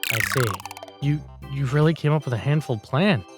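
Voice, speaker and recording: A man's voice: mid-range voice, normal speed, faint music behind, close to an everyday voice. A man voicing a lazy, blunt senior office worker in a manga story, grumbling and critical in a matter-of-fact way. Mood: blunt, explaining. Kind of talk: manga dialogue voice acting.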